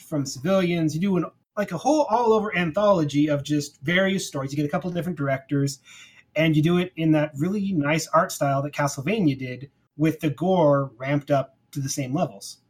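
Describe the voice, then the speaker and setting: A man with a somewhat high-pitched, husky voice talks as a podcast co-host. He is informal and emphatic, pitching ideas in the style of a casual chat between friends.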